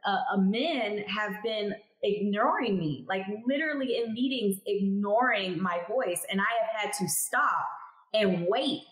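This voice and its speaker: A candid, emphatic woman in her thirties talks through her career as an interview guest. Her voice sits at a middle pitch and carries the roominess of a home room heard over a video call. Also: unhurried, roomy sound, rough recording. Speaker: guest, cannabis lab chemist. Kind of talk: casual interview talk. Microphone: headphones on video call.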